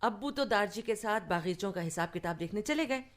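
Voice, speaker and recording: A woman's voice: medium pitch, animated, brisk, close to an everyday voice. A woman playing a young woman fondly telling childhood memories in a radio play. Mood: amused, reminiscing. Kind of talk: radio play acting, storytelling.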